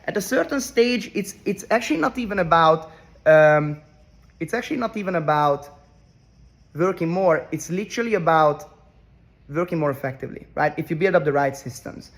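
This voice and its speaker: A man's voice: fairly high pitch, expressive, moderate pace. A Hungarian-raised man in his twenties giving a brash motivational talk to camera, tagging his sentences with "right?" and repeating himself. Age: 20s. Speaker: online business coach. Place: rented villa abroad.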